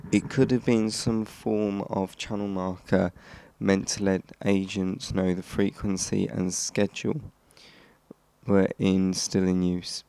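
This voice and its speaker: A man's voice: lowish voice, creaky, mellow. A man hosting a hobby explainer, reading scripted narration and now and then stumbling over his words. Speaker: host of a radio hobby channel.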